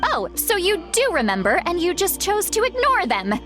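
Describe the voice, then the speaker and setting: A woman's voice: very high voice, wildly swooping pitch, moderate pace, over music, exaggerated voice. A woman playing a stern, exasperated guardian who scolds like an elder in a video game. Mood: exasperated scolding.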